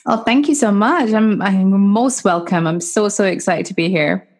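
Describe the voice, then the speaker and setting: A woman's voice: mid-range voice, moderate pace. A woman guest on a podcast, a marketing coach, answering eagerly with small hesitations. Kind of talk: interview answers.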